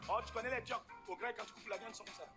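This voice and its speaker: A man doing animated, exclamatory stand-up comedy, teasing a live audience from the stage. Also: sits high, rapid-fire, over music. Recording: noisy live stage recording with ambience.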